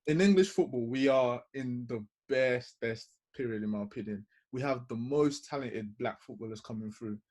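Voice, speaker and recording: A man on a video-call panel, laying out his points one by one in a measured way. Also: medium pitch, darkish tone. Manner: measured, lays out points in order. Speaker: panel guest. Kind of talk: reasoned panel discussion.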